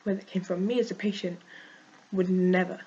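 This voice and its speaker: A woman with a cold and a croaky voice, frankly venting in a casual vlog and laughing often. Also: fairly low pitch, steady tone, normal speed, dark tone, dull audio. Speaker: vlogger.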